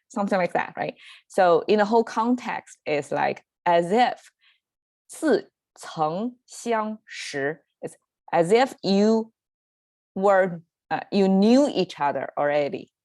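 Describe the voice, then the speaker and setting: A woman with a Chinese accent speaks slowly in a low, unhurried voice, stopping often for fillers and restarts as she explains. The sound is dry and close, with no room around it. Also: no room sound. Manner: hesitant, lots of fillers and restarts, laughs easily. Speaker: language teacher and show host. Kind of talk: casual solo language lesson. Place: home in Southern California.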